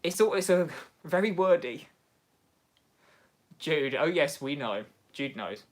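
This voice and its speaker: A man with an English Midlands accent hosting a casual livestream from home, chatting excitably and laughing often as he answers viewers. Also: on the high side, slow. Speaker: livestream host. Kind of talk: casual livestream chat reading viewer comments.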